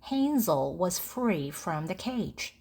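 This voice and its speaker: Female storybook narrator, unhurried and very animated, slightly husky, for learner read-alongs. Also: on the low side. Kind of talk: slow read-aloud storytelling for language learners.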